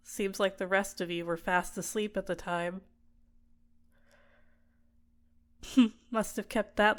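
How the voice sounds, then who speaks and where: A woman voicing a possessive, manipulative villainess in an intimate ASMR roleplay, soft-spoken and coaxing, teasing and sometimes whispering to a captive. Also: mid-range voice, natural voice. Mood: smug, amused.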